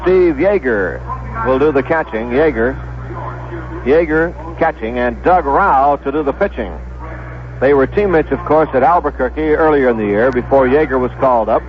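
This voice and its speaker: An American man calling baseball on 1970s radio in a measured announcing manner, repeating names for clarity. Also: medium pitch, lively intonation. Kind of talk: 1970s radio sports commentary, pregame lineups. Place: stadium broadcast booth. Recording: dull lo-fi vintage radio broadcast.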